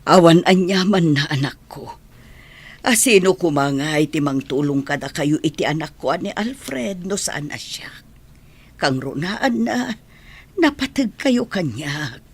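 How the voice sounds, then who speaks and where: A woman performs a consoling older mother in a radio drama. Her voice is lowish and raspy, and it moves slowly with expressive rises and falls. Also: exaggerated voice. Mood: reassuring. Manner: tender, consoling. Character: caring, consoling older mother of a sick daughter. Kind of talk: radio drama acting in Ilocano.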